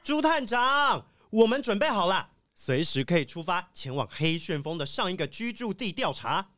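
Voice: mid-range voice, very animated, moderate pace, fairly bright tone, no room sound. An actor playing an earnest, dutiful wolfdog police sheriff in a children's audio drama, speaking in a formal reporting manner. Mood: businesslike. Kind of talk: children's radio drama acting.

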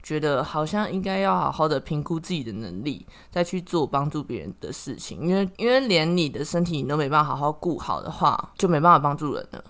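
Podcast host, Taiwanese Mandarin accent, middle pitch, casual chat, reflective, explanatory. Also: animated, a little fast, few pauses, a bit bright.

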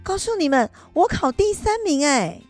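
Woman playing an eager, mellow old grandmother student. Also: sits high, very animated, normal speed, no echo, faint music behind. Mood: elated. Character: eager, modest old grandmother who studies at school. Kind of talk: children's educational radio drama acting.

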